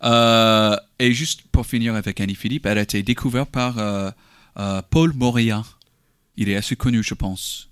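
A man's voice: fairly low pitch, fairly even intonation, moderate pace, a bit bright, smooth, dry recording, clean studio sound. A man who is not a native French speaker, co-hosting a radio music show in a casual, hesitant way with many fillers. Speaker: radio co-host.

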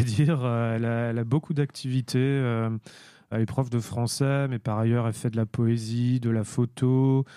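Mellow-voiced musician, dry and hesitant. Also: male voice, medium pitch, clear, resonant tone, no echo. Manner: thoughtful, hesitant with many fillers. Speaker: musician guest. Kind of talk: radio interview answers.

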